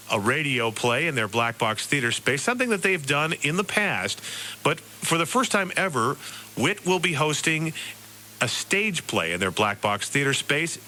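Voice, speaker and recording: An American man hosting a radio interview, asking questions in a slightly halting way. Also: fairly high pitch, very animated, bright, forceful tone, slight background noise, poor audio.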